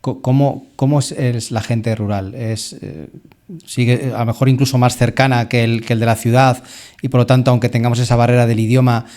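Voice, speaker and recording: A man's voice: medium pitch, level tone, a little fast, a little mellow. A man hosting a podcast interview, asking questions conversationally with fillers and restarts. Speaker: podcast host, travel agency head.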